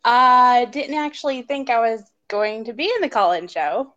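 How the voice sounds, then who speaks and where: Higher-pitched female caller from Kentucky. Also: animated, normal speed, highs cut off, crowd noise. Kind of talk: casual call-in chat. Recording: call-in audio from a phone.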